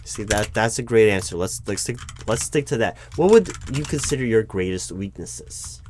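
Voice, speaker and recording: A man playing a job interviewer in an ASMR roleplay, patient but baffled, with a dry, halting delivery full of restarts. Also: on the low side, animated, a little mellow, a little hiss or hum. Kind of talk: ASMR roleplay, spoken aloud, not whispered.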